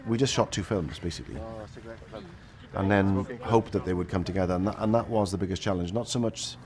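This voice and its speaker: Welsh man, deep fast reflective talk. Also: crowd noise. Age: middle-aged. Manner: reflective, with frequent restarts. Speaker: film director. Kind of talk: documentary interview. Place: indoor room with chairs.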